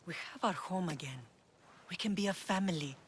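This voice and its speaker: A woman's voice: fairly low pitch, fairly quick, a little hiss or hum, lightly acted. A woman as an earnest, grave Spartan mother. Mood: urgent, pleading. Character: earnest Spartan mother, middle-aged, pleading and resolute. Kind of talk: video game dialogue acting.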